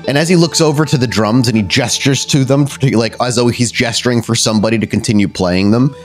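Man, game master, descriptive dramatic storytelling, casual asides, medium pitch, faint music underneath. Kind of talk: narrating a tabletop role-playing game.

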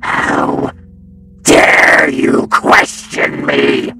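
A man voicing a tormented, brooding animatronic in a comic dub, menacing yet devoted, with a breathy growl and an intense, dramatic delivery. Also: big pitch swings, extremely slow, bright, forceful tone, soft background music, full character voice. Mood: angry, possessive. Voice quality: very deep, gravelly, breathy growl.

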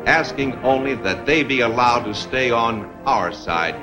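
An American man delivering emphatic, rhetorical narration for a 1950s military film, with dramatic pauses. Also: mid-range voice, lively intonation, music in the background. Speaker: narrator and presenter.

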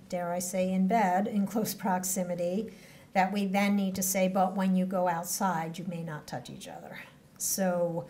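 A woman public health official answering questions at a press conference in a measured, explanatory way, speaking into podium microphones. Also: lowish voice, a little mellow, a little hiss or hum. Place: indoor press room at a podium.

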